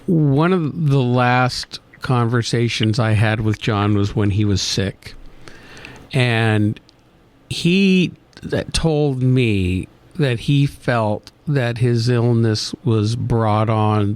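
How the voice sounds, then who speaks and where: A male radio host asking questions in a gentle, careful, tactful way. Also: mid-range voice, slow pace. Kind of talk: interview questions.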